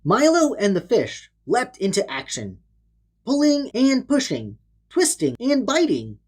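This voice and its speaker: A woman's voice: fairly high pitch, wildly swooping pitch, unhurried, a little mellow, slightly rough. A woman reading a children's storybook aloud in a dramatic, theatrical way. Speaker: storybook narrator. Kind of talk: expressive children's read-aloud narration.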